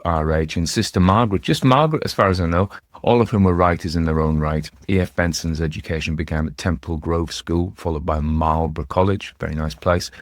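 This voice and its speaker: A man with a deep voice hosts in a casual, literary way. He talks like a storyteller chatting to regular listeners, drifting into rambling asides as he goes. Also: no echo, studio quality. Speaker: host and narrator of a ghost story show.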